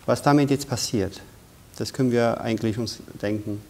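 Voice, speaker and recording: A man's voice: medium pitch, normal speed, warm tone. A man in his thirties, a guest in a studio interview, speaking in an earnest, emphatic way. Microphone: lapel.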